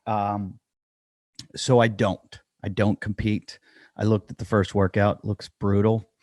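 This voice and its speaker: A man in a dry, close-miked room hosts a casual podcast in a lowish voice. He rambles, jokes at his own expense and leans on fillers. It sounds like an unscripted chat to his listeners. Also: little pitch movement, slow pace, darkish tone, no room sound. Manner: rambling, self-deprecating, with frequent fillers. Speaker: podcast host.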